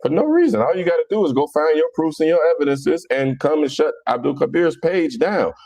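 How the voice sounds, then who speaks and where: A man's voice: fairly high pitch, expressive, a little fast, a little mellow, no room sound. A man with a Philadelphia accent hosting a casual live religious debate, answering viewers in a blunt, colloquial, mocking way. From Philadelphia, United States. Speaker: livestream host.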